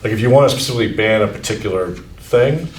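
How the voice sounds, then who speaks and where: A man's voice: lowish voice, lively intonation, fast talker, slight background noise. A man, the superintendent, thinking aloud at a school board meeting, hesitant and full of restarts. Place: meeting room. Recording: echoey room, distant mic.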